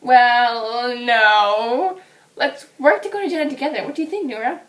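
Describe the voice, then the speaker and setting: Brief: woman voicing a meek little boy puppet, quiet, hesitant, high and bright. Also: moderate pace, far from the mic, natural voice. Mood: hopeful. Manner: soft-spoken, hesitant, polite. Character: gentle, modest young boy puppet, her brother. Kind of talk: children's puppet show.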